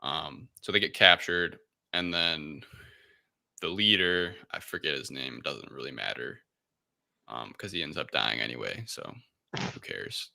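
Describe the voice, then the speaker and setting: A man's voice: extremely low pitch, a little slow, vocal fry, sharp tone. A man co-hosting a casual film discussion, recapping in a rambling, offhand and irreverent way. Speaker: podcast co-host recapping a film.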